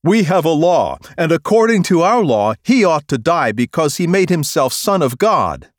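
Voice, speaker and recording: A man voicing an angry crowd of priests and officials in a Bible reading, shouting insistently. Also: on the high side, big pitch swings, moderate pace, no room sound, studio quality, voice pushed up.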